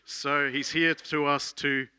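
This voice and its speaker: A man's voice: fairly high pitch, little pitch movement, slow, bright, forceful tone, smooth, no room sound. A man welcoming and introducing a guest preacher to a church congregation. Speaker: church leader introducing the speaker.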